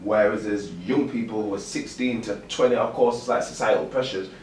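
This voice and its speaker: A man's voice: fairly low pitch, fairly even intonation, fairly quick, darkish tone. Man, late twenties, host, slangy and agreeable, echoey, distant mic. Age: 20s. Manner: agreeable, many "mm"s, slang-heavy. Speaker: podcast host. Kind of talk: casual podcast chat. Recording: echoey room, mic at a distance, faint noise.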